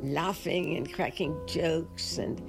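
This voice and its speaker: A woman's voice: low-pitched, takes it slowly, vocal fry. An older woman from Sri Lanka, a jazz singer telling stories about her past in an interview. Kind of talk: reminiscing interview. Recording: music playing underneath.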